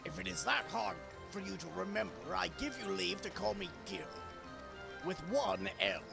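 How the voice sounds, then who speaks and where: Swaggering courtly warrior, heavy gravelly creak, high-set voice, stately archaic phrasing, game music behind. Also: male voice, moderate pace. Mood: affable, dignified. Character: grand, courtly wandering warrior with flamboyant swagger.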